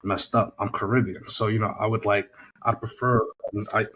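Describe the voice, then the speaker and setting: A man chatting casually on a podcast, halting and repeating phrases, with slangy talk. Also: fairly low pitch, steady tone, bright, forceful tone, husky, low-quality recording.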